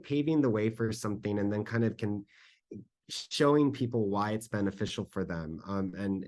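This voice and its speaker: Man, lowish pitch, conversational, explanatory, panel Q&A advice, checks for agreement. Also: a little mellow. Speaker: panelist, school district communications staffer. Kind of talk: conference presentation Q&A, informal advice.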